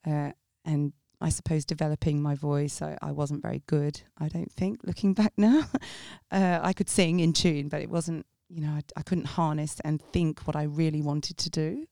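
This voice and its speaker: A woman's voice: sits low, fairly even intonation, moderate pace, creaky, hardly any pauses, warm tone, no echo, studio quality. A woman from England with a mixed Sussex and Essex English accent, chatting freely as an interview guest, rambling with restarts. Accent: English, mixed Sussex and Essex with a touch of Australian. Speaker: guest, jazz singer. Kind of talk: casual interview chat.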